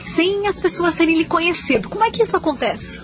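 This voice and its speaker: A woman hosting a radio interview at an event, asking formal questions in a steady way. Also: extremely high pitch, fairly quick, lo-fi sound.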